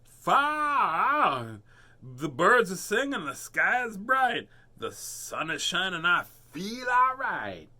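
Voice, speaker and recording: Man doing a slow, high storybook turtle. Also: wildly swooping pitch, sharp tone, full character voice. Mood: happy. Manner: high, drawn-out complaining. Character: turtle, frustrated and upside down.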